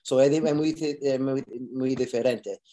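A man's voice: medium pitch, steady tone, a little slow, warm tone. A man, an actor, answering interview questions casually, mixing Spanish and English with many fillers and restarts.

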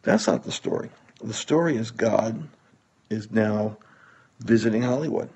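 A man with a low, gravelly voice speaks like a preacher on politics and faith. He is earnest and husky, setting off phrases with deliberate pauses. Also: a little mellow. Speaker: preacher or religious commentator. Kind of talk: religious political talk.

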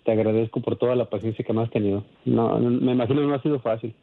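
A man from Michoacán, a radio guest who jokes and defends himself in halting, restarting phrases. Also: mid-range voice, steady tone, creaky, no room sound, narrow, tinny audio. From Michoacán, Mexico. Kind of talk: casual chat.

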